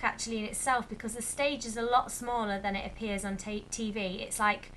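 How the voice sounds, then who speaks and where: A young woman talks casually to camera, rambling along with plenty of fillers. Her voice is bright and a touch croaky. A faint low rumble sits in the background. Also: poor audio. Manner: chatty, rambling with many fillers. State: slightly croaky. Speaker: vlogger.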